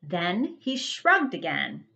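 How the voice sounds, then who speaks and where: A woman who teaches first grade reads a picture book to young children in an animated, emphatic storytelling manner. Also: fairly low pitch, slow pace, creaky.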